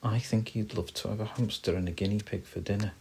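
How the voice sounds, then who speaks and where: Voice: low-pitched, fairly even intonation, fast talker, a little mellow, slightly rough. A man voicing a friendly, confident forest squirrel in a children's story, chirpy and assured in manner.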